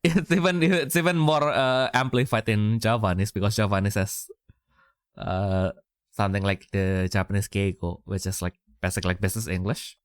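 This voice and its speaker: A man's voice: lowish voice, flat delivery, moderate pace, clean tone, no room sound, clean studio sound. A man from Indonesia co-hosting a podcast, chatting casually with lots of fillers and laughter. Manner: rambling, many fillers, frequent laughter. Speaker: podcast co-host. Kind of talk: casual chat.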